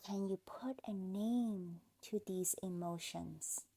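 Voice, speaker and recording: Slow, creaky-voiced woman guiding meditation. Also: mid-range voice. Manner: soothing, gentle, deliberate, with pauses. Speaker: meditation guide. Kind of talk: guided meditation, scripted narration.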